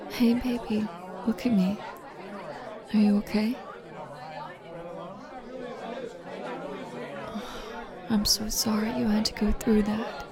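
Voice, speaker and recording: A fiercely protective young girlfriend, played by a woman in a roleplay audio, who talks straight to you and calls you "baby." She speaks slowly and takes her time over each word. A faint murmur of a crowd sits behind her. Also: mid-range voice, close to an everyday voice.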